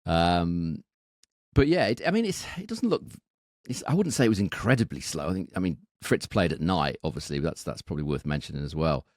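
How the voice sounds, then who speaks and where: A man's voice: sits low, big pitch swings, a little fast, a little mellow, no room sound, studio quality. A man co-hosting a betting podcast, thinking aloud and often repeating words as he goes. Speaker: podcast co-host. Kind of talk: casual betting analysis.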